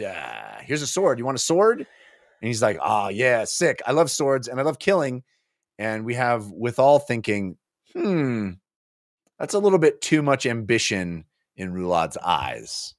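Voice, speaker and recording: A man's voice: mid-range voice, sing-song, measured pace, no echo, studio quality. A man in his forties co-hosting a book club podcast, talking casually with jokey, hesitant asides into a desk mic. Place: small home office, indoors. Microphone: close desk microphone on boom arm.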